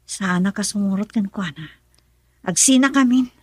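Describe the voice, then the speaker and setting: An actress with an Ilocano accent playing a troubled young wife who answers anxiously and defensively. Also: female voice, mid-range voice, moderate pace, a little mellow, breathy edge, dry recording, natural voice. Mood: resentful. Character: troubled young wife, resentful of her husband. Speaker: daughter. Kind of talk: radio drama acting.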